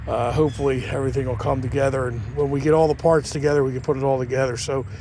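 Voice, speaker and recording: Everyday man, mid pitch, sniffly cold, rambling DIY vlog voice, unscripted. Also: little pitch movement, racing, warm tone, a little hiss or hum. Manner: rambling, unpolished, with many fillers and restarts. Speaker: home mechanic. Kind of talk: casual garage how-to. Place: outdoors, cold.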